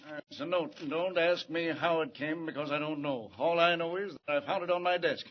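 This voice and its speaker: A man's voice: fairly high pitch, fairly quick, lightly acted. Anxious 1940s radio city official played by a man. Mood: uneasy. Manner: fretful, excitable, insistent. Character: anxious, flustered male city commissioner. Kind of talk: 1940s radio serial acting. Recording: muffled, noisy 1940s radio recording.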